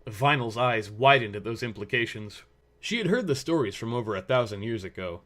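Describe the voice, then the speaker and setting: Male narrator with a medium-pitched voice. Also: expressive, fairly quick, a bit bright. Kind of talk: scripted story narration.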